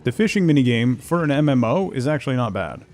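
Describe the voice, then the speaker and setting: Gravelly male streamer with animated pitch. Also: fast. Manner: muttering to himself, playful asides. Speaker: game streamer. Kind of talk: casual solo gaming stream commentary.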